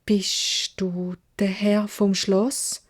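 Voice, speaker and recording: A woman's voice: medium pitch, flat delivery, very slow. A Swiss German woman voicing a kind, honest, dutiful young beauty in a storybook fairy tale, speaking earnestly. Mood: timid, kind. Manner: earnest, emphatic.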